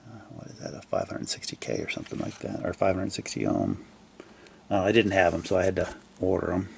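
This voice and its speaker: Low, creaky-voiced man thinking aloud. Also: sing-song, a little mellow, slight background noise. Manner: halting, thinking aloud, trailing off mid-phrase. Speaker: hobbyist repairer presenting his own fix. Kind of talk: casual unscripted repair walkthrough.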